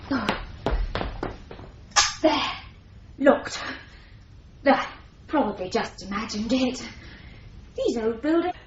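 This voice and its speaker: An actress playing a nervous young office typist working late and alone, talking to herself in a radio drama. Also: female voice, sits high, roomy sound, muffled recording, noisy background.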